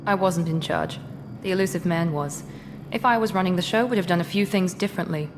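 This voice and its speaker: A woman's voice: fairly low pitch, a little fast, close to an everyday voice. A woman playing a cool, curt Cerberus operative. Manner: curt and aloof. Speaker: game character. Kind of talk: video game dialogue. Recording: game audio with long reverb and clear hiss.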